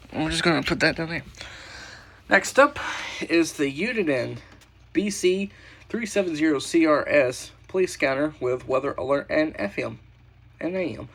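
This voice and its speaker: A man with a noticeably creaky voice talks casually through a show-and-tell. He rambles, mutters asides and swears mildly. Some faint-to-clear background noise sits around him. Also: on the high side, a bit bright. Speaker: radio collector and host. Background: weather radios playing.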